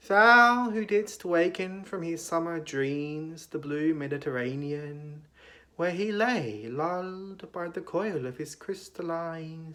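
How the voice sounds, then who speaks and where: A man giving a dramatic recitation of poetry in a declamatory manner, with long pauses between phrases. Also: fairly high pitch, fairly bright tone. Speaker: poetry reader.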